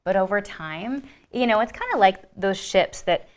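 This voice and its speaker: A woman's voice: mid-range voice, animated, normal speed. A woman explaining wellness ideas earnestly in a casual podcast chat. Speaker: wellness guest. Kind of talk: casual conversation.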